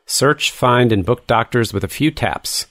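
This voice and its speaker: A man's voice: mid-range voice, animated, a little slow, fairly bright tone, no room sound, clean studio sound. A man reading a scripted, first-person podcast advertisement as a host.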